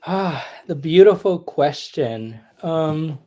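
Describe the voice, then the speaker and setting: A young man from Puerto Rico, a humanist chaplain being interviewed, who talks in a reflective, searching way with many pauses and asides. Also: fairly high pitch, expressive, mellow. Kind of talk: conversational interview.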